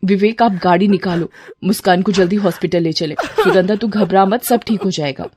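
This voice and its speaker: A woman's voice: mid-range voice, moderate pace, a little mellow, a little hiss or hum, lightly acted. A woman playing an earnest, kind young mother from next door in a domestic story. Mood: concerned.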